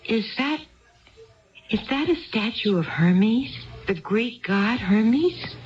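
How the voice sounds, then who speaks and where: A woman's voice: fairly high pitch, animated, slow. An actress playing an earnest, tipsy married woman who rambles wistfully in a 1970s radio drama. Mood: curious. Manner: wistful, digressive, repeats herself. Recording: dull lo-fi 1970s radio broadcast.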